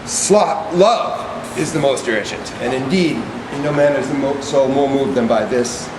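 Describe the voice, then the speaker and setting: An actor playing a servile, pompous orator who declaims set speeches in a deliberate, pedantic way on stage. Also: male voice, mid-range voice, noisy background, close to an everyday voice. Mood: solemn, declaiming. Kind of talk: theatrical stage monologue. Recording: echoey stage play, music behind.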